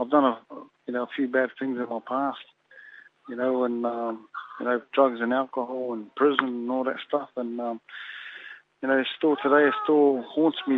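A Samoan-born boxer being interviewed, talking haltingly and filling pauses with "you know". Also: male voice, medium pitch, a little hiss or hum. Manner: halting, leans heavily on "you know" fillers. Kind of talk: phone interview. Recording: tinny phone line.

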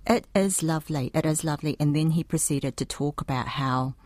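A woman writer recounting a story in an interview, animated and full of fillers. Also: low voice, brisk, dry recording, clean studio sound. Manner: animated retelling with many fillers.

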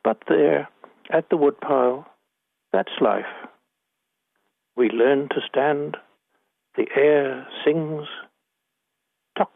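A man's voice: a little high, drawn-out words, creaky, long pauses, no echo, phone-quality sound. A man in his eighties with a Scottish accent, a poet reading his work and talking about it in an interview. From Northern Ireland. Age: 80s.

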